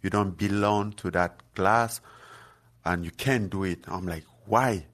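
Deep-voiced man from Cameroon, earnest. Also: fairly bright tone. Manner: halting, many fillers and restarts, earnest. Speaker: guest fighter. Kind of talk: casual interview chat.

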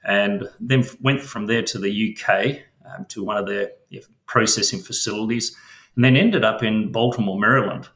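A man's voice: fairly low pitch, normal speed, fairly bright tone, roomy sound, poor audio. A middle-aged Australian man with an Australian accent, answering interview questions as a mining company chief, hesitant and full of fillers and restarts.

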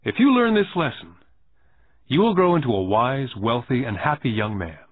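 A man voicing a calm, shrewd older businessman who lectures a boy in a measured, quietly knowing way. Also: medium pitch, breathy edge, no echo. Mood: lecturing. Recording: tinny phone-like band.

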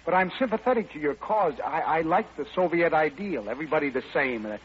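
A man's voice: fairly high pitch, expressive, brisk, slight background noise, lightly acted. A man playing a charming, flippant playboy count in an old-time radio drama, on a muffled recording. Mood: pleading.

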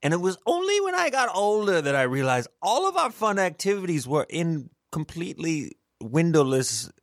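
A man's voice: on the high side, sing-song, moderate pace, no echo, clean studio sound. A man playing a pompous academic sexologist with a put-on posh British accent in a comedy radio interview. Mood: earnest, matter-of-fact. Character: pompous male twentieth-century sexologist and academic.

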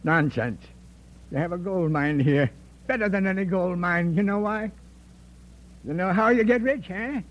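An actor playing a smug, corrupt man of power in an old radio drama, insinuating and menacing, often ending on a questioning "eh?". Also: high-pitched. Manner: slow, insinuating, punctuates with "eh?". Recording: muffled, noisy old radio recording with hum.

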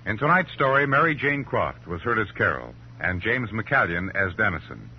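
A man's voice: fairly low pitch, very animated, moderate pace, slightly rough. An American man reading scripted credits and promotions as a formal, emphatic 1950s radio announcer. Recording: muffled old radio broadcast, loud music. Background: loud music.

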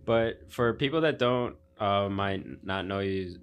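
A man with a low, deep voice hosts a casual conversation. He phrases things haltingly, often restarting and repeating words as he goes. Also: clean tone, lo-fi sound. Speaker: podcast host. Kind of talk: casual chat.

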